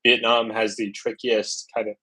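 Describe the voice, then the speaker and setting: Casting note: man from the US, slightly low voice, easygoing travel streamer, rambles and restarts. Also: flat delivery, moderate pace, sharp tone, breathy edge. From United States. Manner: rambling, thinking aloud with many fillers. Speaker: travel vlogger. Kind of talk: casual livestream chat.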